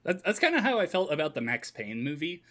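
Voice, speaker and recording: A man's voice: a little high, sing-song, fast, pushed and bright. A man chatting casually as a podcast panelist, talking like an enthusiastic fan. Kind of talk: casual chat about games and movies.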